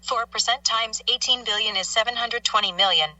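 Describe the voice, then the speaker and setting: Bright synthetic woman's assistant voice. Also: fairly high pitch, brisk, far from the mic, poor audio. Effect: synthetic voice from a phone speaker. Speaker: phone voice assistant. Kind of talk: synthetic assistant answering a query. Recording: played from phone into microphone.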